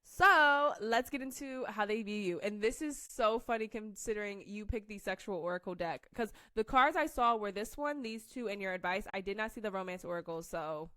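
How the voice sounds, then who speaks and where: A woman's voice: medium pitch, moderate pace, few pauses, pushed and bright, dry recording. Chatty American woman reading tarot. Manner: chatty, rambling, self-correcting, reads card text aloud. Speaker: tarot reader. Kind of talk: casual pick-a-card tarot reading.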